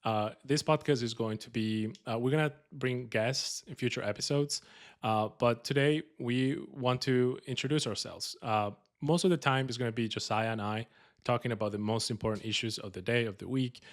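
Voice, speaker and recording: A man's voice: medium pitch, steady tone, normal speed. A man from Colombia with a Colombian Spanish accent, hosting a podcast in an upbeat, joking, casual way with many fillers. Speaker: podcast host.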